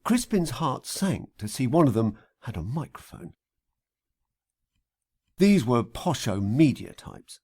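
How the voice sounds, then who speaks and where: Slow, husky man narrating with lively pitch swings. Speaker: author reading an audiobook extract. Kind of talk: scripted satirical audiobook narration.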